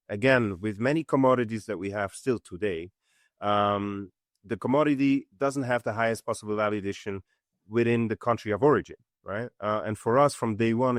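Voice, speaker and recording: A middle-aged man from Switzerland, a company co-founder, answering interview questions in an earnest, halting way with many fillers. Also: lowish voice, no echo, clean studio sound. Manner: earnest, many fillers and restarts, steady explanation. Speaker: company co-founder and CEO, guest.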